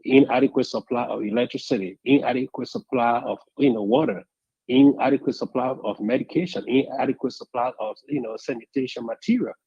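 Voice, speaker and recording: A man calling in to a radio talk show, speaking in a deliberate, measured way that builds to emphatic points. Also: mid-range voice, mellow, slightly rough, dry recording, lo-fi sound. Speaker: caller. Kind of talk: call-in radio commentary.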